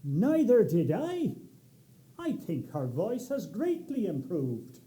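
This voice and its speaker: A man playing a boastful gentleman who proclaims and agrees grandly. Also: high-pitched, wildly swooping pitch, slow pace, heavily acted. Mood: showy. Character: showy older gentleman, boastful.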